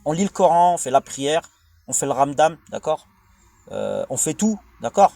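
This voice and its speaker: Man, French accent, higher-pitched voice, dry close sound, rambling monologue, blunt slangy delivery, repetitive. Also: big pitch swings, moderate pace, vocal fry, warm tone, no room sound. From France. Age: adult, father of a young child. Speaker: vlogger.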